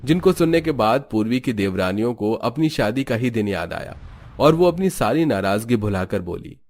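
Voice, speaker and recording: A man narrating a cartoon family story in a plain, even storytelling voice. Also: mid-range voice, moderate pace.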